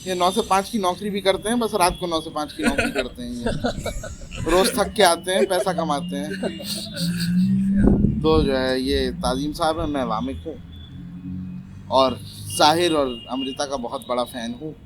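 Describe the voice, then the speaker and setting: A man co-hosting a casual chat, full of deadpan jokes and teasing. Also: on the high side, moderate pace, pauses often, over music, rough recording. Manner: joking, teasing, deadpan quips. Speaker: co-host. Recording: loud crowd behind.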